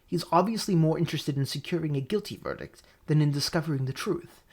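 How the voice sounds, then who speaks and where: A woman voicing an arrogant male falcon defence lawyer in a video game, lecturing and deliberate in a cutting, logical way. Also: low-pitched, a little mellow, husky. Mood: pensive.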